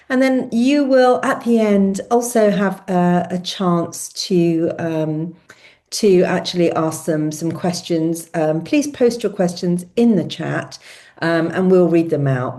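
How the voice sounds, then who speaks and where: A woman hosting a virtual book launch, speaking with frequent ums and restarts. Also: on the low side. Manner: warm-up host, hesitant with many ums. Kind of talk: hosting an online book event.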